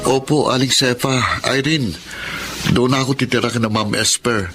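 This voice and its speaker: An actor playing a polite, humble young working student in a Filipino radio drama, respectful and earnest. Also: male voice, mid-range voice, fairly even intonation, normal speed, lightly acted. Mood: grateful, earnest. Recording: music behind the voice.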